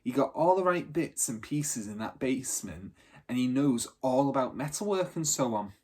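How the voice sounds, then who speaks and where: A man's voice: mid-range voice, animated, moderate pace, darkish tone, breathy edge. A man voicing a bossy, impatient but loyal young girl from a street gang in a storybook, with slangy scolding. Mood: explaining.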